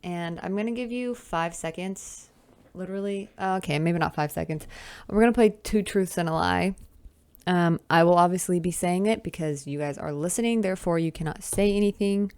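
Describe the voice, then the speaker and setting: A woman's voice: lowish voice, moderate pace, darkish tone, clear, resonant tone, no echo. A woman in her twenties hosting a solo podcast, chatting casually and teasing herself, with sighs and asides. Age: 20s. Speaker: podcast host. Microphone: camera with close mic.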